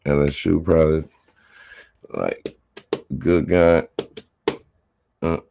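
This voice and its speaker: A man's voice: rumbling low, steady tone, slow pace, gravelly, mellow, a little hiss or hum. A man hosting a sports podcast, rambling through casual commentary with lots of fillers and restarts.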